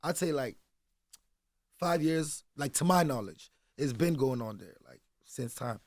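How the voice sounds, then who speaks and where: A man's voice: medium pitch, lively intonation, a little slow, a little mellow, no echo. Nigerian guy guesting on a radio show, chill rambly talk, dry close mic. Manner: loose, rambling, with constant "you know what I'm saying". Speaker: guest musician. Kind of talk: casual interview chat. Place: radio studio. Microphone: studio microphone.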